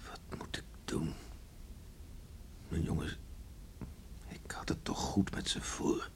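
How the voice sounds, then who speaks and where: An actor in a Dutch radio play, playing a stern, stubborn middle-aged farmer father troubled by remorse, brooding and halting. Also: whispered, close to an everyday voice. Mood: desolate, muttering. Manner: brooding, halting, muttering to himself. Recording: faint background noise on radio recording.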